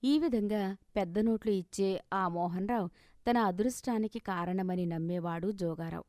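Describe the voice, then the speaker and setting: A woman narrates a scripted moral story. Her voice is of medium pitch and recorded very dry, with no room around it. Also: warm tone, no echo.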